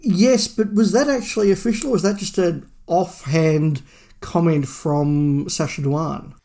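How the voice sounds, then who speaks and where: A man's voice: a little high, a little slow, warm tone. A man co-hosting a podcast, talking casually and musingly through fan news. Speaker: podcast co-host. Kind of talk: casual discussion of Doctor Who fandom news.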